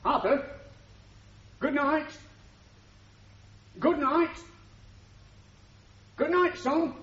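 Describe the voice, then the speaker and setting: An actor playing a sentimental, wounded middle-aged working-class father with a Northern English accent in a radio drama, speaking haltingly and emotionally. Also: extremely high pitch, full character voice. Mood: calling out. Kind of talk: 1970s radio drama acting. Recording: muffled, noisy 1970s radio broadcast.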